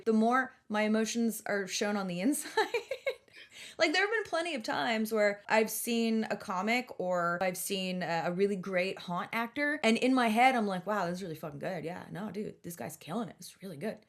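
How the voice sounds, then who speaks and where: Slangy, sweary woman in casual podcast banter. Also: medium pitch. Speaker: podcast co-host and stand-up comic.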